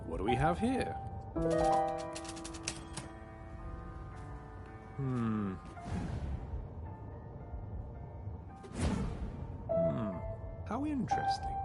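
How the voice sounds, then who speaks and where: A man's voice: mid-range voice, wildly swooping pitch, takes it slowly, vocal fry, heavily acted. A man voicing a polite, bumbling, mildly pompous pig detective in a video game, musing hesitantly. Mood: curious, musing. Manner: hesitant, ponderous, courteous. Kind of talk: video game character acting. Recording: loud music behind the voice.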